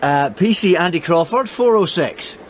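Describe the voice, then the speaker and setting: An actor playing an eager, earnest young police constable in a radio drama. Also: male voice, high voice, animated, normal speed, a little hiss or hum. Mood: polite.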